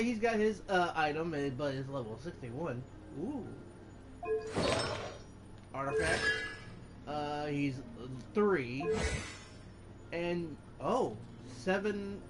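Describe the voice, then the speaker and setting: Lively, informal gamer with swooping pitch. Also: slow, long pauses. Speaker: gamer, channel host. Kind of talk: casual gameplay commentary. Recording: loud game music over the voice. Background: loud game music.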